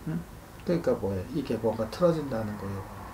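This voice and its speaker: A man's voice: mid-range voice, expressive, brisk. Blunt male fortune-teller in a roomy space. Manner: blunt, admonishing, often prompting with short questioning grunts. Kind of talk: spoken consultation, informal advice. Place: small indoor consultation room. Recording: roomy echo, faint background noise.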